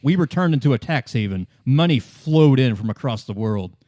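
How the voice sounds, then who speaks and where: A man playing a worldly, composed female company representative in a tabletop roleplay, explaining things in a halting, world-weary way. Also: medium pitch, lively intonation, dark tone, no room sound, studio quality, natural voice. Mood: wistful. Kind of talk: in-character tabletop roleplay exposition.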